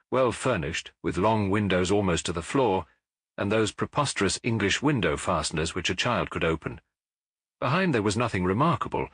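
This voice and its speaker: A man's voice: on the low side, a bit bright, husky, dry recording. A man voicing a clever, nonchalant Victorian detective in a storybook, urbane, precise and breezy. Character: brilliant, nonchalant Victorian male detective, brisk and amused.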